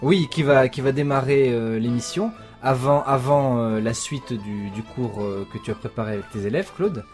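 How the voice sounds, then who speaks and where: A man co-hosting a radio show, introducing the program haltingly with many fillers. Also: mid-range voice, a little mellow, soft background music.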